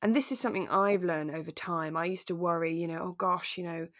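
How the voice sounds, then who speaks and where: A woman in relaxed podcast conversation, musing and thinking aloud as a co-host discussing a drama. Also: on the low side, fairly quick, dry recording, clean studio sound.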